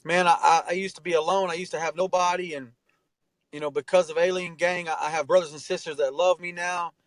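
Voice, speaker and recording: A man's voice: a little high, fairly even intonation, fairly quick, no echo, rough recording. A man around forty, a guest in an interview, telling his story in an earnest, heartfelt way and often saying "man". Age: 40s. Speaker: interview guest, artist and tattoo artist. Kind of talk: candid conversational interview.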